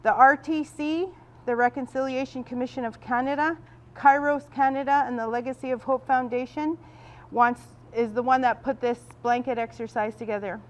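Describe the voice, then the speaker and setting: A woman leading a group workshop in a large room, projecting loudly without a microphone. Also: high-pitched, steady tone, moderate pace. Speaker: workshop facilitator. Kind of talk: guiding a group exercise.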